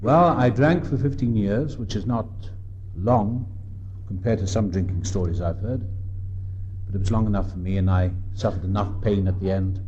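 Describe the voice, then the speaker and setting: Welsh guy in his 50s, lowish voice, earnest reflective talk at a meeting, slight hiss. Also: dark tone, lo-fi sound. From South Wales. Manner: earnest and reflective, with pauses and restarts. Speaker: recovery meeting speaker. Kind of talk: personal recovery talk. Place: meeting room.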